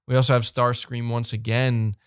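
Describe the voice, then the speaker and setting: A young man hosting a live podcast, talking fast and animated, repeating words when fired up and losing his voice. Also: mid-range voice, smooth, no room sound, clean studio sound. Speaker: podcast host reading super chats. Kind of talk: excited live-stream chat. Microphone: close desk mic.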